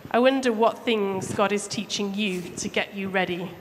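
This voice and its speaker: A woman telling a Bible story to children live at church, theatrical and emphatic with deliberate phrasing. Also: medium pitch. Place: large room, live church service. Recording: echoey hall, clear background noise.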